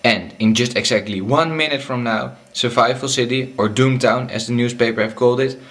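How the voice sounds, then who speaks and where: A man's voice: mid-range voice, fairly quick, a bit bright, lightly acted. A man playing a formal, urgent radio news announcer delivering bulletins in a radio play. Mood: solemn.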